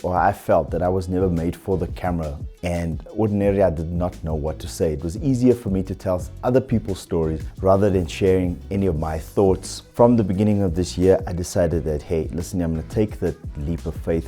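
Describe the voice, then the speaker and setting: A South African man talking through his channel mistakes in a measured, earnest, fairly monotone way, with small restarts. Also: low voice. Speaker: YouTuber. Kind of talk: reflective vlog talking to camera.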